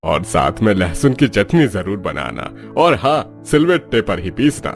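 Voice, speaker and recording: Man playing a low-voiced genial cartoon father-in-law. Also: very animated, measured pace, soft background music. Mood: requesting. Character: older father-in-law, genial, food-loving family elder. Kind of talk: Hindi cartoon story dialogue.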